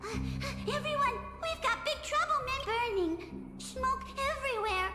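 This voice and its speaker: Tiny squeaky, panicky creature. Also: female voice, expressive, unhurried. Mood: panicked. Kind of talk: cartoonish fantasy game voice acting. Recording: echoing reverb, music underneath.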